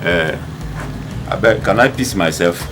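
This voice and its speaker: A man's voice: sits low, a little slow, background noise. An actor playing a nervous Nigerian underling apologizing to his boss.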